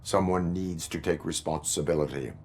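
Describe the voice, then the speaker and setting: An actor playing a stoic male cyborg police officer in a video game, speaking in a flat, terse, authoritative way. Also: low voice, moderate pace. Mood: stern.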